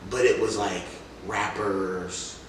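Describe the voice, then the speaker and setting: Deep-voiced young man in an echoey room. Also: takes it slowly. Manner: slangy, acts out remembered dialogue. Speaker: rapper being interviewed. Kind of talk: casual anecdotal interview talk. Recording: echoey room, distant mic, faint noise.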